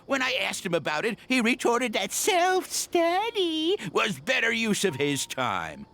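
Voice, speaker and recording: A man voicing a pompous, indignant old professor with a creaky, theatrical delivery in a video game. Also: very high voice, huge pitch swings, measured pace, pushed and bright, full character voice. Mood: furious, indignant. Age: older. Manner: blustering, theatrical.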